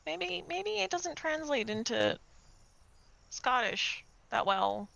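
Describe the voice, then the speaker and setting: A woman's voice: sits high, sing-song, slow pace, creaky, a bit bright, dry recording. A woman roleplaying in character, dryly teasing another player. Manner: dry teasing, playful threats.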